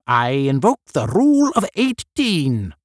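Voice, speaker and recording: A man voicing an excitable cosmic creature, crying out urgently in a science fiction audiobook. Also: mid-range voice, takes it slowly, no echo, studio quality, voice pushed up, full character voice. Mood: insistent. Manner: urgent, declamatory shouting.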